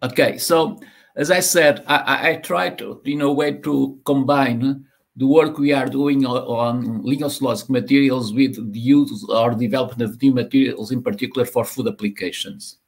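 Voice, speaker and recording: A man in his sixties from Portugal with a Portuguese accent, giving an online university lecture over a video call, talking haltingly with many repeated words and fillers. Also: medium pitch, steady tone, gravelly. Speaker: university professor, guest lecturer. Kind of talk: online lecture presenting slides. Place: home or office room, on a video call. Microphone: laptop or webcam mic on a video call.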